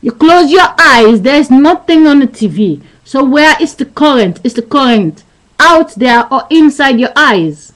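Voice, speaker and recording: A woman in her thirties with a high voice speaks into a webcam mic. She lectures in an insistent, repetitive way, circling back over the same points. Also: lively intonation, a little slow, fairly bright tone, low-quality recording. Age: 30s. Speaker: vlogger. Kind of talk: rambling philosophical webcam monologue.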